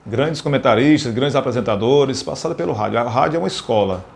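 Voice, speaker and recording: A man with a Northeastern Brazilian accent reminiscing in an interview, haltingly, often repeating words as he searches for them. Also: mid-range voice, creaky. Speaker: radio presenter, interviewee. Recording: noticeable background noise, ambient sound.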